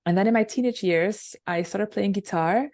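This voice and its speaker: A young woman singer with a low alto voice, chatting casually over a video call about her music with many fillers. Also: moderate pace, a little mellow. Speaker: singer and songwriter, interview guest. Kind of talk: casual interview answers.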